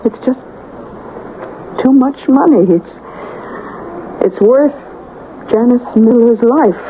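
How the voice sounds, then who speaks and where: Casting: tormented wife, high voice, hesitant and dramatic, vintage radio drama with thin, narrow sound. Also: female voice, slow. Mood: tormented, wavering. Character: distressed wife torn between conscience and money. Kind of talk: 1970s radio drama acting. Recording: tinny, noisy old radio broadcast.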